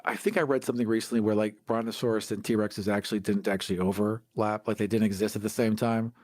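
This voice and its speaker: A man's voice: fairly low pitch, fairly even intonation, fast, darkish tone, no echo, studio quality. A man bantering casually as a podcast co-host, playfully argumentative and swearing now and then.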